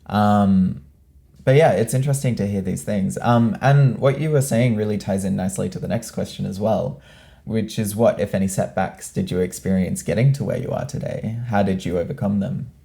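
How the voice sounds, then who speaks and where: A young woman hosts a casual interview, her voice very low and gravelly with a dark, mellow tone. She talks in a friendly, informal way with frequent fillers. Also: moderate pace. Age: young adult. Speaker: student host.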